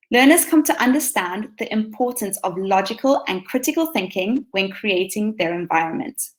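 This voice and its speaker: A woman from South Africa with a South African accent, giving an eager, upbeat talk to fellow teachers over a video call, with frequent ums. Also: medium pitch. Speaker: teacher presenting to educators. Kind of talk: conference presentation.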